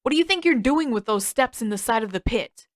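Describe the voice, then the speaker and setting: A woman's voice: a little high, animated, a little fast, no room sound, clean studio sound, pitched above the performer's own voice, close to an everyday voice. A woman voicing an indignant, stubborn teenage boy in an audiobook story, accusing and exclamatory. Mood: suspicious.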